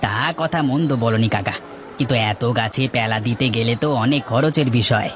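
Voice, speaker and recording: A man's voice: medium pitch, moderate pace, noisy background, lightly acted. A man voicing a brash, teasing village farmer with an offhand manner in a cartoon folk tale. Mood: teasing, casual. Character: brash, teasing village banana farmer, older brother type.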